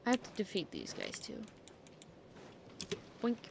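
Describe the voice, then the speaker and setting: Casual female game streamer, slow-paced and playful, sweary self-talk, with some clicky background noise. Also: mid-range voice, huge pitch swings, creaky. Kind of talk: casual gameplay commentary.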